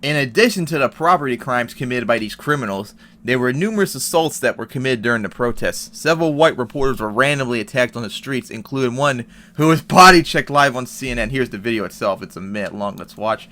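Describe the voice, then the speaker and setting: A man's voice: mid-range voice, lively intonation, a little fast, hardly any pauses, sharp tone. A man giving heated, profane commentary on an article and news clips, reading passages aloud and reacting. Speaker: commentary vlogger.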